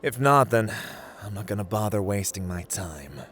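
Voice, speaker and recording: A man's voice: animated, normal speed, faint music behind. A man playing a playful, haughty god of luck in an ASMR roleplay, theatrical and teasing, drawling his words as he addresses the listener. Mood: bored, disdainful. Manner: theatrical, teasing, drawling, swinging between hushed and booming.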